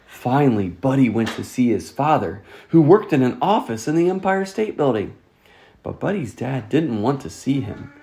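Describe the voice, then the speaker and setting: A storyteller reading a children's picture book aloud in an expressive, scripted way. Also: medium pitch, moderate pace. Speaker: storytime reader.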